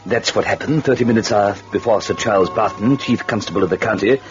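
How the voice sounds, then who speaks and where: A man's voice: lowish voice, fast talker, lightly acted. A man playing a mocking, droll devilish storyteller in a radio horror drama, with chuckling sardonic asides. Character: gleefully sinister, mocking male devil storyteller. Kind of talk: theatrical radio narration. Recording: muffled old radio recording with music.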